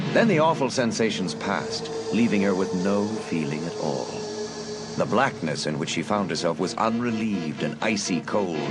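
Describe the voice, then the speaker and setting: Low-voiced man narrating with lively intonation. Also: low-quality recording. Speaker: audiobook narrator. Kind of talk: scripted dramatic story narration.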